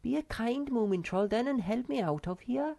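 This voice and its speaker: A woman voicing a small, timid, sweet and excitable young female creature with a childlike, hesitant manner, for a storybook. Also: fairly high pitch, moderate pace, vocal fry, pitched above the performer's own voice. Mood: sweet, coaxing.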